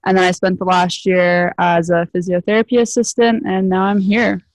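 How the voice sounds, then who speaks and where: A student from Canada introducing herself, a little hesitant with fillers. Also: female voice, lowish voice, little pitch movement, normal speed, no echo.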